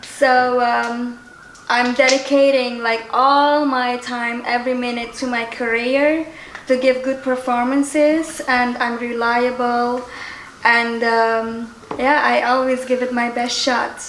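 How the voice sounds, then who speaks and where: A woman's voice: on the high side, level tone, slow pace, fairly bright tone. A woman from South America casually introducing herself as a guest on a panel. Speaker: performer, dancer, panel guest. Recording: echoey room, faint noise.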